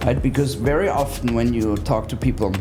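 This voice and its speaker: A man's voice: medium pitch, a little fast, music in the background. A man, talking conversationally as a guest in an interview. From Austria. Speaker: interview guest, business therapist.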